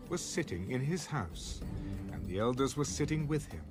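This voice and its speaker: A man's voice: medium pitch, very animated, normal speed, music in the background, lightly acted. A man giving scripted narration for a dramatized audio Bible.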